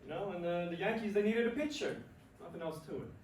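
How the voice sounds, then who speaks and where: A man's voice: sits high, quick pace, natural voice. A man acting a cocky, curt baseball star with a blunt, clipped delivery in a staged play reading. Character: cocky, curt male baseball star; also gruff father. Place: stage before a room, far microphone. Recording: echoey distant stage recording with noise.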